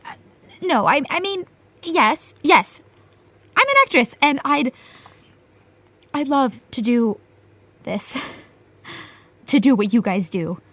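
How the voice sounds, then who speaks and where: A woman's voice: high-pitched, big pitch swings, slow pace, pauses often, a bit bright, dry recording, exaggerated voice. A woman playing an eager, nervous aspiring actress in an audio drama, earnest and prone to stammering. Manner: hesitant, stammering.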